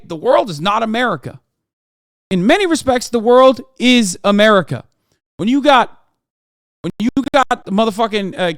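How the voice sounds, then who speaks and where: A man's voice: extremely high pitch, fairly bright tone, clean tone, dry recording, clean studio sound. A man from Turkey, in his thirties, giving heated, profane reaction commentary as a streamer, lecturing and emphatic.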